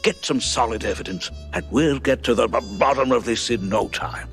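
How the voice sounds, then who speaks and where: A man's voice: mid-range voice, normal speed, soft background music, natural voice. A man playing an earnest, anxious ally of a detective in a video game, with a stuttering delivery. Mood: earnest, urgent.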